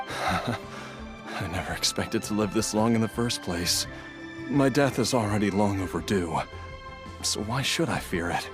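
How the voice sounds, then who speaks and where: A man's voice: on the low side, expressive, a little slow. An actor playing a weary, ailing, doggedly determined man in a game, with a strained, dramatic delivery. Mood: resigned. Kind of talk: scripted game voice acting. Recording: studio voice over game music.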